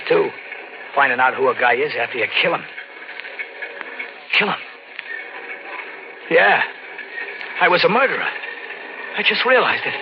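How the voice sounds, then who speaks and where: An actor playing a frightened, guilt-ridden drifter with a record, talking in halting slang in a 1940s radio thriller style. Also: male voice, fairly high pitch, background noise. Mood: brooding, then panicky, scheming. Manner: halting, anxious, repeats words, street slang. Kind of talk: 1940s radio thriller acting, first-person narration. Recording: dull old radio recording with music. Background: dramatic music.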